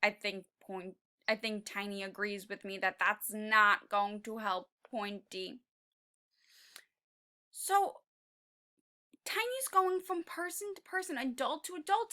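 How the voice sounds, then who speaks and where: A woman's voice: high voice, bright, forceful tone. A woman reading aloud to young children as a teacher would, expressively, stopping to prompt them with questions.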